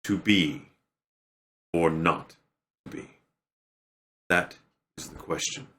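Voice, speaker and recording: A deep, forceful voice from a man playing a troubled young prince. He broods through a Shakespearean soliloquy in solemn, measured phrases, weighing each line as on a theatre stage. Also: heavily acted. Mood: brooding, solemn. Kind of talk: Shakespearean dramatic recitation.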